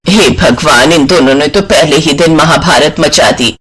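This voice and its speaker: A man's voice: fairly high pitch, measured pace, fairly bright tone, husky, voice pushed down. Man voicing a weary, melodramatic old village mother. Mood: dismayed. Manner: melodramatic, lamenting. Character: weary, scheming elderly village mother-in-law, dramatic and complaining. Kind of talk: Hindi animated moral-story acting.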